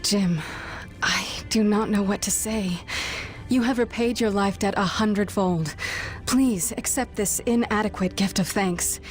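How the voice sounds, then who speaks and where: An actress playing an earnest chief's daughter of a frontier tribe in a video game, composed and stiffly formal. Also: female voice, medium pitch, measured pace, soft background music, natural voice. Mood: grateful, formal. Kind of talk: video game character dialogue.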